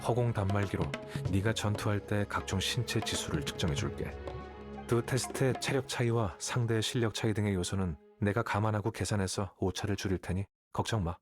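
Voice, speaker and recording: An actor playing an aloof, calculating young male scholar in a Korean video game, with a detached, measured delivery. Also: fairly low pitch, faint music behind, lightly acted. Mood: cool, instructive. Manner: detached, matter-of-fact, measured.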